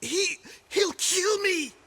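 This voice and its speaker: Cornered game bandit, very high-pitched, wildly swooping delivery, crude, stammering, desperate. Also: male voice, lively intonation, slow pace, vocal fry, bright, forceful tone, full character voice. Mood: panicked pleading. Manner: panicked stammering, crude taunting. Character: terrified captured bandit, defiant then cowering and pleading. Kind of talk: dramatic video game acting.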